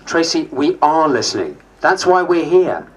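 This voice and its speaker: A man as animated, exasperated, sarcastic politician. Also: fairly high pitch, normal speed. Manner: emphatic, theatrical. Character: exasperated politician arguing back, sarcastic and emphatic. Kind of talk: stage dramatisation of diaries.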